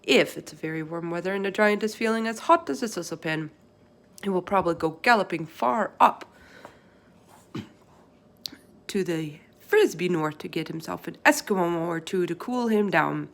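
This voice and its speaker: Storybook friendly giant, played by a woman: earnest, excitable, emphatic, tumbling through garbled folksy speech. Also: lowish voice, moderate pace, gravelly. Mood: emphatic explaining. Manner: emphatic, garbled folksy grammar with made-up words.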